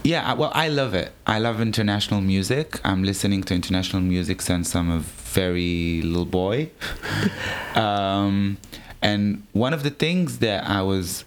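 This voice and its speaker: Man, low voice. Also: unhurried. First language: Hebrew. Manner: animated, laughing, restarts sentences. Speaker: drag performer guest. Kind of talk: casual interview chat.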